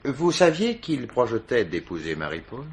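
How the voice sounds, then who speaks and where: An actor playing a patient, insistent police commissioner in a French radio mystery, questioning in short, probing phrases. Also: male voice, medium pitch, big pitch swings, normal speed, a little hiss or hum, close to an everyday voice.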